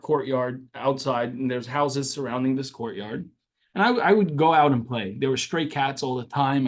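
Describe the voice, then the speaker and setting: A young man born in Syria whose first language is Arabic, earnestly recounting his childhood as an interview guest and often restarting his sentences. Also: a little high. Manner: earnest, with frequent restarts and fillers. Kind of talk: interview storytelling.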